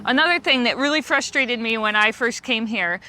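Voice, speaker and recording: A woman's voice: fairly high pitch, animated, brisk, loud music behind the voice, rough recording. A woman from the United States, a guest guide, explaining basic phrases for travelers in a friendly, patient way, laughing easily. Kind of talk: casual explanatory chat.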